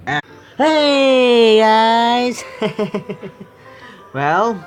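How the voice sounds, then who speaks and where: A man hosting a gaming video, reading game text aloud and commenting on it in a jittery, excitable way. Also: extremely high pitch, drawn-out words, clear, resonant tone. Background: game music.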